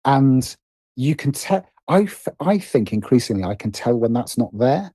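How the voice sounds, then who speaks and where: A man's voice: mid-range voice, very animated, moderate pace, dark tone, breathy edge, no echo. A middle-aged British man speaking reflectively as an interview guest, often stopping to rephrase himself. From Britain. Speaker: guest, writer and producer.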